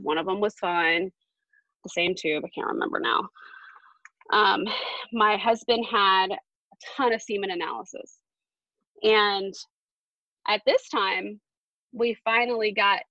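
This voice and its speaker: A woman around forty, a podcast guest telling a personal story in an earnest, halting way with many fillers. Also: medium pitch, fairly bright tone, dry recording, muffled recording.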